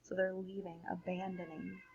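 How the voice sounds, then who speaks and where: A woman reading a storybook aloud expressively for children, like a teacher pausing to explain things to young listeners. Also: lowish voice, flat delivery, a little mellow, slightly rough, highs cut off.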